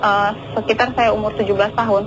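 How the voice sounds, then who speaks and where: A woman's voice: mid-range voice, level tone, quick pace, low-quality recording. A woman from Medan with an Indonesian accent, calling into a radio show and telling a family story earnestly over the phone. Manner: earnest, repetitive, often addresses the host. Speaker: caller. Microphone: phone line. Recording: radio phone-in with music underneath.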